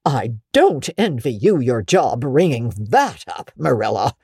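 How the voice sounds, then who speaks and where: A woman's voice: very deep voice, big pitch swings, unhurried, warm tone, husky, no echo, clean studio sound, full character voice. A woman voicing a haughty, easily scandalized village matron in a dramatic audiobook, outspoken, emphatic and judgmental. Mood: indignant. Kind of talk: dramatic audiobook character acting.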